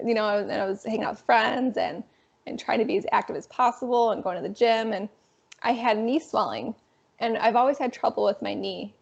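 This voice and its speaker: A young woman in her twenties with a raspy voice, a guest telling her story in a casual, animated interview. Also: fairly high pitch. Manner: animated, frequent fillers. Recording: dull lo-fi sound.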